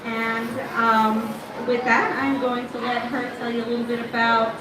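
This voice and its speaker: Woman introducing a speaker at an aquarium, kinda halting, echoey and noisy. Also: on the high side, level tone. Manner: friendly, a bit halting, with ums. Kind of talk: introduction at a public talk. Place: room at an aquarium. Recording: echoey room, distant mic, background noise.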